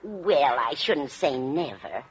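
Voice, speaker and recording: A woman's voice: a bass voice, animated, slow pace, heavily acted. An actress playing a vague, chatty, absent-minded older lady in a 1940s radio comedy. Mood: chatty reminiscing. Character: scatterbrained, chatty older landlady. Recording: muffled vintage 1940s radio recording.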